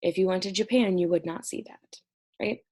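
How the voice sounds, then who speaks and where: Young woman with vocal fry. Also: medium pitch, normal speed, warm tone. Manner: conversational, explanatory teaching. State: stressed and short on sleep. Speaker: college instructor. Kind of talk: recorded exam review lecture. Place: at home.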